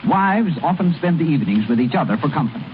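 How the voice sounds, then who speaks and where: A man's voice: a little high, animated, fast, phone-quality sound. A man reading a scripted commercial narration as a vintage radio announcer. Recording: thin, noisy old radio broadcast.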